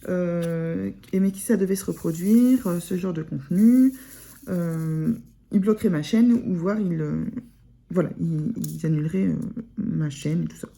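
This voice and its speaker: Husky-voiced woman with a northern French accent. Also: lowish voice, measured pace, warm tone. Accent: Picard (Ch'ti), northern France. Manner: rambling, many fillers and restarts. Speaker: sewing vlogger. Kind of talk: casual chat to camera.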